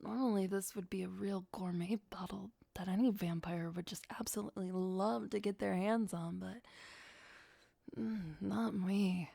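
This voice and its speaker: A woman plays an ancient vampire girlfriend in an ASMR roleplay, sweet, teasing and possessive. Her voice is soft, breathy and mellow, often dropping to a whisper. She takes her time, in a close, dry sound. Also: medium pitch, steady tone, a little slow, no room sound. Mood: disgusted.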